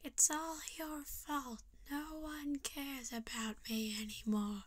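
A woman's voice: high voice, fairly even intonation, slow. A woman voicing a lonely, apologetic little cartoon ink demon with a meek, plaintive manner. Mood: self-pitying.

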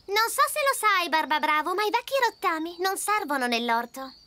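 Squeaky, bossy young cartoon blob. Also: female voice, lively intonation, normal speed, pushed and bright, slight background noise, heavily acted. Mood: alarmed, scolding. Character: sharp-tongued young female cartoon blob, skeptical and bossy. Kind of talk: Italian cartoon dubbing.

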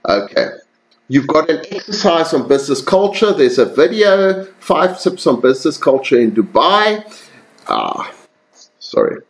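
A man giving a recorded slide lecture to students, relaxed and conversational, with frequent ums and self-corrections. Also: a little high, measured pace.

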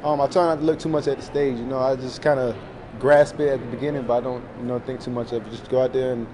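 A young man, a college football player, answering reporters in a press room with short, low-key replies full of fillers. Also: little pitch movement, quick pace, crowd noise. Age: young adult. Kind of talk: post-game press conference answers. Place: press room in front of a sponsor backdrop. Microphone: table mics in front of him.

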